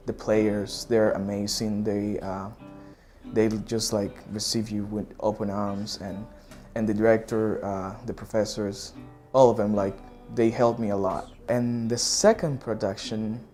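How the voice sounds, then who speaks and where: A young man from the Republic speaks English, his voice sitting a little low. He talks haltingly in an interview, pausing to search for words. Soft music plays faintly underneath. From Dominican Republic. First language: Spanish. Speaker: theater student.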